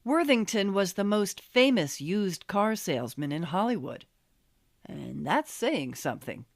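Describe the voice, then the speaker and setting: An American woman reading her memoir as an audiobook, telling it in a wry, expressive storytelling manner. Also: medium pitch, unhurried, no echo, studio quality. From Los Angeles, USA. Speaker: author reading own memoir.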